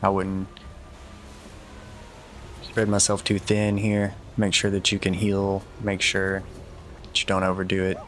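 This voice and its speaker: A man's voice: low-pitched, completely flat delivery, unhurried, long pauses, fairly bright tone. A man giving casual video game walkthrough commentary and tips in a matter-of-fact, advisory way.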